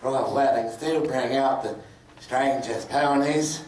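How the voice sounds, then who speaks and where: A man's voice: mid-range voice, slow pace, a little mellow, slight background noise, close to an everyday voice. A man voicing a fussy, bossy cartoon pony in a comedic live dramatic reading. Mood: brisk, amused. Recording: echoey room, distant mic.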